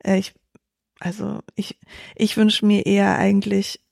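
Young woman founder, dark mellow voice, dry close sound, thinks out loud with lots of fillers. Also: mid-range voice, little pitch movement, unhurried, gravelly, no room sound. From Germany. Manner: thoughtful, many fillers and restarts. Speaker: startup founder, guest. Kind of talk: reflective interview answers.

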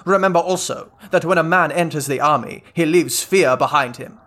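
An actor playing a pompous, blustering English army general in a comic radio play, imperious and easily outraged. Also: male voice, on the high side, normal speed, fairly bright tone, natural voice. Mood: imperious, barking.

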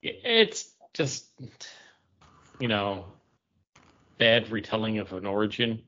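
A man's voice: fairly low pitch, very animated, slow pace, sharp tone. A man co-hosting a podcast, giving his opinions in casual conversation. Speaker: podcast co-host. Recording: dull lo-fi podcast audio.

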